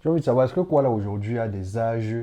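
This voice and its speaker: A man conducting an interview, calm and affirming, with brief acknowledgments and follow-up questions. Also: medium pitch, fast talker, highs cut off.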